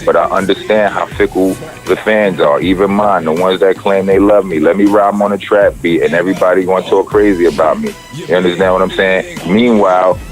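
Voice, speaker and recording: A middle-aged man with a deep voice, a rapper talking casually in an interview, rambling and profane, with many restarts. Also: fairly even intonation, fairly quick, soft background music.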